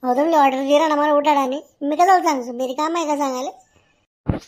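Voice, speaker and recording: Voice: high voice, fairly even intonation, brisk, close to an everyday voice. A woman voicing a mischievous, argumentative village schoolboy in a comedy cartoon, with a Khandeshi Ahirani accent. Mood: cheeky. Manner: cocky, teasing, argumentative. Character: cheeky, mischievous village schoolboy who talks back to teacher.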